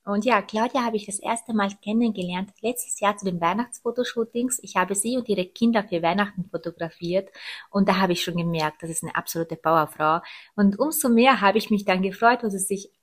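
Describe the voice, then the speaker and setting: A woman's voice: medium pitch, brisk. A woman in her thirties speaking as a podcast host, introducing and interviewing. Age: 30s.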